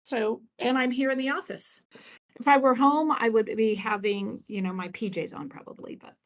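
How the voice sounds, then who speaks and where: Animated, laughing woman guest. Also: a little high, moderate pace. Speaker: podcast guest, event organizer. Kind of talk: casual podcast conversation. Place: office.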